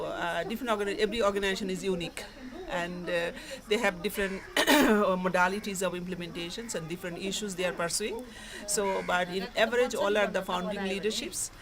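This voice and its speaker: A woman from Bangladesh, speaking hesitantly with many fillers in an interview. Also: medium pitch. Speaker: meeting participant. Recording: loud crowd chatter behind.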